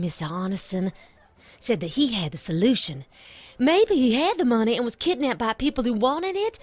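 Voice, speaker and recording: A woman's voice: mid-range voice, no echo, lightly acted. An actress playing an anxious, sincere young woman in love in a video game, speaking in an earnest, plaintive way. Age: young adult. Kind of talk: video game cutscene acting.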